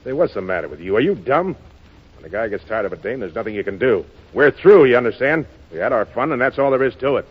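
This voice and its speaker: Casting: commanding, slangy tough lover, emphatic 1930s radio delivery, slightly husky, muffled vintage broadcast. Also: male voice, medium pitch, sing-song, fast. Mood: cold, harsh. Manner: curt, hard-boiled, emphatic. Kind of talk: 1930s American radio acting.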